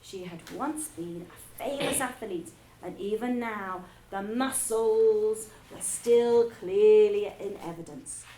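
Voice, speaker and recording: A woman teacher reading a children's novel aloud to her class, with expressive storytelling. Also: medium pitch, takes it slowly, few pauses, a little hiss or hum. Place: school room. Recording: echoey room with faint ambience.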